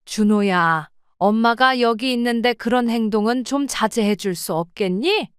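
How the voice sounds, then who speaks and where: A woman's voice: fairly high pitch, normal speed, clean tone, dry recording, clean studio sound, natural voice. A woman voicing a stern, proper mother in her seventies who scolds firmly in an audio drama. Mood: reproachful. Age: older. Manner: firm, reproachful scolding.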